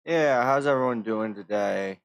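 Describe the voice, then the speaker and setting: An American man chatting casually on a gaming livestream, subdued and halting, a little under the weather with a sore throat. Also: mid-range voice, gravelly, dry recording. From United States. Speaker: livestreamer, VTuber. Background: music.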